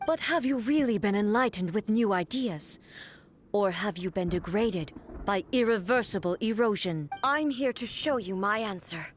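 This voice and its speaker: A woman playing a solemn, regal warrior deity in a video game, speaking in a grave, formal, measured manner. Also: medium pitch, lively intonation, slight background noise, natural voice. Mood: stern, challenging. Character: solemn, regal female warrior deity and her stern puppet double. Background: game music.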